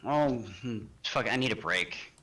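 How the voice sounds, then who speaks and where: A man's voice: mid-range voice, expressive, unhurried, a loud crowd, poor audio. A man playing a tabletop game, chatting casually with dry, cocky, sweary banter. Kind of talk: casual chat.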